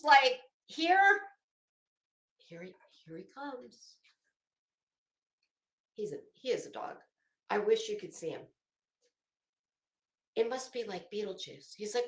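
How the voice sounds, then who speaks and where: A woman's voice: sits high, pushed and bright, roomy sound. A sassy, salty-tongued woman chatting casually and theatrically as she hosts a rambling vlog. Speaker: YouTube host.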